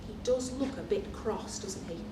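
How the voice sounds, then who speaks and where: A woman reading a children's picture book aloud at story time as a librarian, animated and expressive, pausing for asides and questions to young listeners. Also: medium pitch. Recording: echoey room, distant mic, faint noise.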